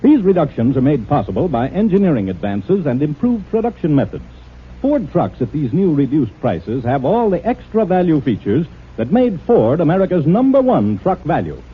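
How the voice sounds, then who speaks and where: Male announcer, very raspy, animated punchy selling, old radio advertisement, tinny narrow sound. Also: a little high. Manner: punchy sales delivery. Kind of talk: 1950 radio advertisement read.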